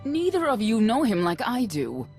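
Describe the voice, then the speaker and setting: A weary mother who was once an elite guard, for a video game. Her voice is creaky and measured, with composed regret in the delivery. Music plays behind her. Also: a little high, wildly swooping pitch, darkish tone. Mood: firm, defensive. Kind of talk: video game cutscene acting.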